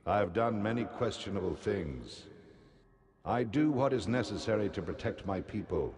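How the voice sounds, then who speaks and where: An actor playing a grave, remorseful noble spiritual leader in a fantasy video game, speaking in a formal, measured manner. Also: male voice, on the low side, lively intonation, a little mellow. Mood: earnest, grave. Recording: echoey hall reverb, clear background ambience.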